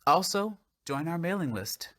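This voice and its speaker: A man's voice: fairly high pitch, sing-song, moderate pace, a bit bright. A man giving a scripted closing announcement as a theater's artistic director.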